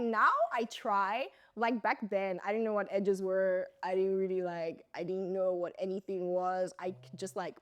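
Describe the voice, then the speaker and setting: A woman's voice: medium pitch, dry recording, clean studio sound. A woman hosting a podcast in casual chat, emphatic and blunt, laughing often. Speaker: podcast host.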